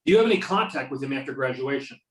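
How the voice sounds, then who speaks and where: A man's voice: medium pitch, animated, racing, a bit bright, close to an everyday voice. A man playing a businesslike investigator questioning a witness in an amateur readers theater drama. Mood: inquiring. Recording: roomy, echoing sound.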